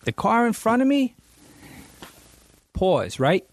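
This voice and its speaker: A man, co-hosting a radio show in a studio, chatty and quick to riff along. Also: high-pitched, sing-song. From New Jersey. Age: 40s. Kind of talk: casual banter.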